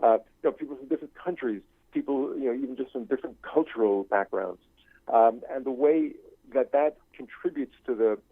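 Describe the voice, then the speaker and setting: An older man giving an unscripted interview as a guest professor, halting and searching, often circling back over his phrases. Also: medium pitch, husky, no room sound. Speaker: guest, screenwriting professor and writer. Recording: thin phone-like line.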